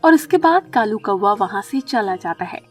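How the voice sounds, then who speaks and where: A woman's voice: mid-range voice, lively intonation, moderate pace, faint music behind. A woman reading scripted narration for a children's story, as the storyteller.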